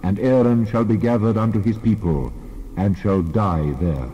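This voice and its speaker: A man's voice: on the low side, normal speed, dark tone, dull audio, a little hiss or hum, voice pushed down, lightly acted. A man voicing the Lord as a stern, commanding deity in a dramatized scripture reading, speaking solemnly as if pronouncing judgment.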